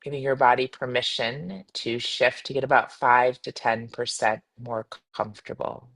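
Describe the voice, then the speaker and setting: Female meditation guide, mid-to-low voice for a woman, soothing and unhurried, gentle instructions with spacious pauses. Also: low-quality recording. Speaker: meditation guide, yoga teacher.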